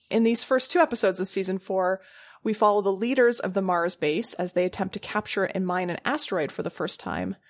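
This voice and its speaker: Chatty woman podcast co-host. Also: medium pitch. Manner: chatty, laughs often. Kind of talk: casual chat.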